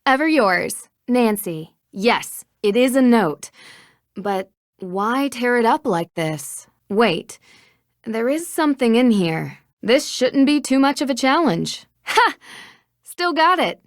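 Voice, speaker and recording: A woman playing a curious, plucky young amateur detective in a video game, with a clear, inquisitive delivery. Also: a little high, expressive, slow, no room sound, studio quality. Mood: cheerful. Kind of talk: video game voice acting, scripted dialogue and narration.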